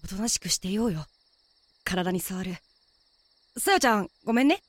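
A woman voicing a gentle, chatty, apologetic young man in a Japanese amateur voice drama. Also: medium pitch, expressive, moderate pace, dry recording, close to an everyday voice.

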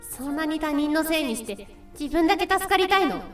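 A woman's voice: high-pitched, expressive, fairly quick. A woman playing a bitter, accusing young woman in a stage melodrama within an audio drama. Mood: hurt, accusing. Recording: echoey, distant voice over music.

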